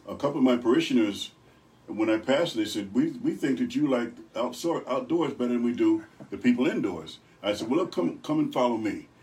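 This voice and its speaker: An older man from Rochester, New York with a deep voice, speaking as an evangelist guest in a radio studio, telling stories with good humor. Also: expressive, a little fast, a little mellow. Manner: good-humored, rambling storyteller. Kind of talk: radio interview. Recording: distant from the mic.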